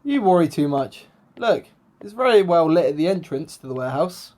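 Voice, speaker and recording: An amateur actress playing a scatty, curious, excitable friend in a radio play, in a chirpy, playful manner. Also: female voice, fairly low pitch, moderate pace. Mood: impatient.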